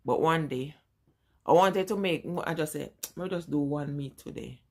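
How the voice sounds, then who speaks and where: Emphatic Jamaican woman, slightly low voice. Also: moderate pace, darkish tone. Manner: emphatic and repetitive, talking straight to viewers. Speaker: vlogger. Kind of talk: casual live-stream chat with viewers.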